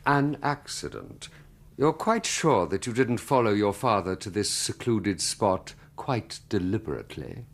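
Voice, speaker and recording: A man's voice: on the low side, sing-song, unhurried, exaggerated voice. An actor playing a stern, sceptical inquest examiner in a radio drama, pompous and incredulous as he puts clipped questions. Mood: probing. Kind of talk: radio drama courtroom acting.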